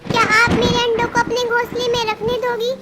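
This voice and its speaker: A woman's voice: very high voice, monotone, fairly quick. A woman voicing a small, timid female bird who pleads and apologizes in a cartoon story. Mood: pleading.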